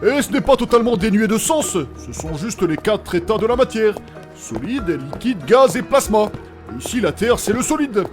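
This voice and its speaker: High, animated, cheeky know-it-all played by a man. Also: moderate pace, soft background music. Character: cheeky, crude, know-it-all male amateur chemist adventurer. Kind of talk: comedic fantasy audio drama acting.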